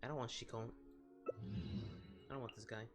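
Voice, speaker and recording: Excitable gamer over game music. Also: male voice, mid-range voice, measured pace. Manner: rambling, excitable. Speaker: gaming streamer. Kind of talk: casual gameplay commentary.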